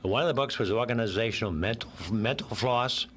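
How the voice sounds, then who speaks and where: An older man with a hoarse voice from a cold, a guest in a radio interview who rambles a little and jokes and laughs at his own quips. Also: medium pitch, a little fast, a bit bright, a little hiss or hum.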